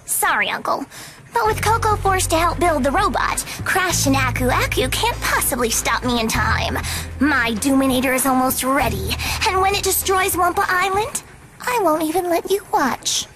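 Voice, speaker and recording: A woman voicing a bratty, scheming evil teenage niece in a cartoon video game, with sneering, drawn-out sarcasm. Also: high voice, animated, over music. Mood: smug.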